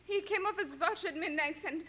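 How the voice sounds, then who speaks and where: A woman's voice: very high-pitched, brisk, sharp tone. A woman playing a frightened, superstitious sailor who talks in nervous broken English in a radio play. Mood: fearful, stubborn. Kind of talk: dramatic radio play acting.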